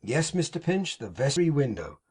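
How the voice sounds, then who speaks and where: A man voicing a pompous, sanctimonious middle-aged gentleman in an audiobook, with a slightly husky, raised voice and grand theatrical phrasing. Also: medium pitch, sing-song, moderate pace. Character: pompous hypocritical middle-aged male architect.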